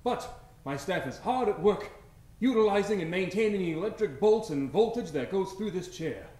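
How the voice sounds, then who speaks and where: A man's voice: sits high, animated, normal speed, warm tone. A man playing a solemn, florid prison warden, speaking in a wordy, theatrical old-time radio style. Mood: reassuring, grave. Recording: echoey stage, faint noise.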